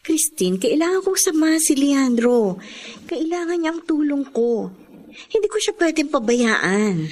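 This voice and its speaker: A woman's voice: high voice, sing-song, unhurried, a little mellow, a little hiss or hum. A Filipina actress playing a devoted woman caring for a sick beloved friend in radio melodrama, earnest, pleading and emotional.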